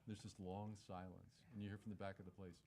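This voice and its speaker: A man's voice: low-pitched, quick pace, heavy background noise. A man who is a stage actor, telling an anecdote in a big theatrical voice. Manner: big theatrical voice, expressive storytelling. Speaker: voice actor recounting a story. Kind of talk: anecdote told in an interview.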